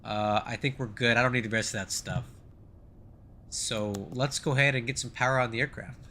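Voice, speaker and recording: A man's voice: mid-range voice, fairly even intonation, a little fast, sharp tone, a little hiss or hum. Middle-aged man with a stuffy, nasal voice. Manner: chatty, rambling, thanks viewers. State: nasal and congested, getting over flu. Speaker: flight sim streamer. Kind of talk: casual live-stream commentary.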